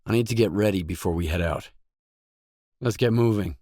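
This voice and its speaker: A wary stalker for hire from a video game, voiced by a man, speaking in a lowish male voice. He is blunt and terse, keeping things dry and matter-of-fact. The recording is very dry, with no room sound around the words. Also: moderate pace, warm tone, dry recording, lightly acted. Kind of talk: scripted game voice lines.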